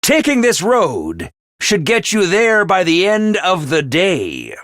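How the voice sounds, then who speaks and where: Scheming academy official, high voice, wildly swinging pitch, theatrical, commanding, dry studio sound. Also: male voice, huge pitch swings, slow, fairly bright tone, no echo, full character voice. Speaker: voice actor. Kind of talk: video game voice lines.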